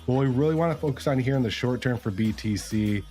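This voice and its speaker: A man getting over a cold, with a raspy voice, casually walking viewers through crypto charts on a live stream. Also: mid-range voice, fast talker, mellow, faint music behind. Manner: casual, explanatory, many fillers.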